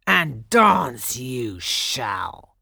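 Woman voicing a slow, growling, menacing pirate chief. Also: very deep voice, huge pitch swings, vocal fry, fairly bright tone, husky, no room sound, studio quality, exaggerated voice. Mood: mocking menace. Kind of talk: dramatized storybook reading.

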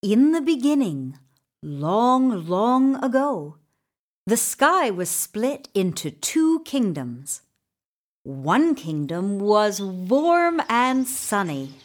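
A woman narrating a children's story in an expressive, deliberate way with dramatic emphasis. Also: medium pitch.

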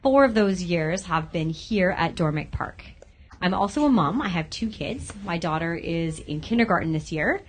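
A woman in her thirties, a grade five teacher, answering interview questions as a guest and explaining things in clear, simple terms for young listeners. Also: on the low side, expressive, moderate pace, slight background noise.

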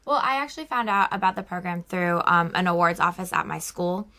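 Gravelly, bright-voiced young woman. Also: fairly low pitch, lively intonation, brisk. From Florida, United States. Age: young adult. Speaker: exchange student.

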